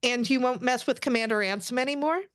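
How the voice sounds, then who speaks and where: A woman voicing an earnest, excitable young female alien lieutenant in an animated sci-fi table read, with pleading, emphatic delivery. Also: a little high, fast talker, no echo, studio quality, voice pushed up, natural voice.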